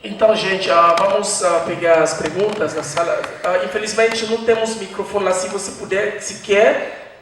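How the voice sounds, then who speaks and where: A man hosting a post-screening discussion, explaining things at an unhurried pace and pausing to gather his thoughts. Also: high voice, fairly bright tone. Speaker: panel host. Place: screening room, large hall. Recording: echoey hall, distant, faint noise.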